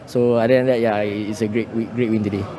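A man's voice: lowish voice, little pitch movement, quick pace, dark tone. A young man with a Singaporean accent, a football fan answering casually and hesitantly into a handheld phone outdoors. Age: young adult. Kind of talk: casual post-match interview. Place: outdoor stadium entrance. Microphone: handheld phone held toward him.

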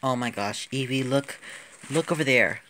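A woman's voice: extremely low pitch, moderate pace, fairly bright tone. A woman voicing a teasing girl friend in a homemade toy play.

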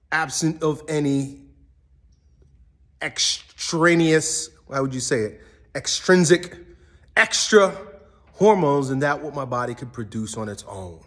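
Blunt, candid man in his forties. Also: mid-range voice. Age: 40s. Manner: candid, blunt, swears casually. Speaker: strength coach and channel host. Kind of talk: casual talk to camera.

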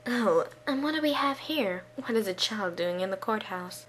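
A woman's voice: medium pitch, expressive, moderate pace, heavy background noise, voice pushed down, close to an everyday voice. A woman voicing a haughty, experienced woman of the law in a game fandub, condescending and mocking. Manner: condescending, cool.

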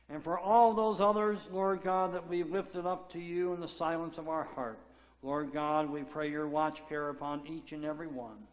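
Man praying in an echoey sanctuary. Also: a little high. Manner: solemn, measured prayer cadence. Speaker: pastor. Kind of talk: pastoral prayer. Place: large church sanctuary. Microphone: far camera at back of sanctuary.

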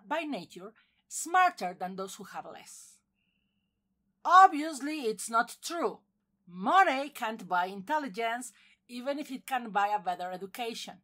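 A woman's voice: a little high, bright, forceful tone. A woman delivering scripted film commentary as a video essayist, with emphatic delivery and wry asides.

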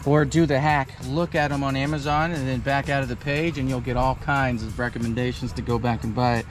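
A man in his thirties giving a relaxed, chatty step-by-step demonstration outdoors at a campsite under a canopy tent. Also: mid-range voice, a little fast. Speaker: vlogger. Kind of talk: casual how-to demo. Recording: background music under voice. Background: music.

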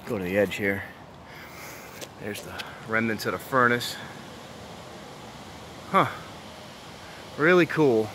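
A man's voice: on the low side, slow pace, long pauses, background noise. A man narrating a casual walking tour, marveling aloud and talking to viewers as he moves through an overgrown abandoned site outdoors. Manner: relaxed, marvels aloud, talks to viewers as he walks. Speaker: urban explorer vlogger.

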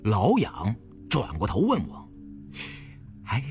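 A man narrating a suspense novel in the first person with expressive, dramatic storytelling. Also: a little high, unhurried, faint music behind. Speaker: audiobook narrator.

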